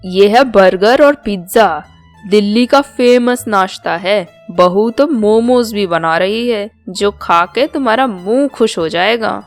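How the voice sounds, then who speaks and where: A woman narrator acts out an older mother-in-law from a small town in a storybook tale. She is chatty and proud, insisting on being modern. Soft music plays behind her. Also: mid-range voice, slow pace. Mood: defiant. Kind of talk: Hindi storybook cartoon dialogue.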